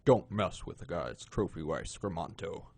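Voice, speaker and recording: A man playing a suave, menacing gentleman crime boss in an audio drama, unhurried and courtly in manner. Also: low-pitched, expressive, vocal fry, breathy edge, no echo, full character voice. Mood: coldly menacing.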